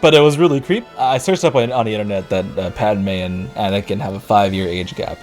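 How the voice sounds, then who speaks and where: A man casually reviewing movies, rambling and correcting himself, his voice worn and going hoarse. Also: on the low side, a little fast, a little mellow, soft background music. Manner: rambling, self-correcting, laughs often. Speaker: YouTube reviewer.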